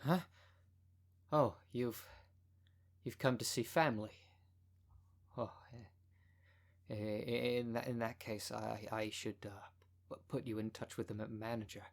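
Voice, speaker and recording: A man's voice: mid-range voice, fairly even intonation, measured pace, creaky, pauses often. A man playing a timid, flustered hotel receptionist in an audio roleplay, stammering and apologetic. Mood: nervous, apologetic.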